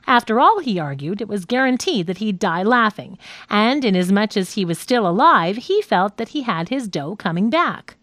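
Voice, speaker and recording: A woman reading a scripted nonfiction audiobook as its narrator, in a measured, articulate manner. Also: medium pitch, dry recording, clean studio sound.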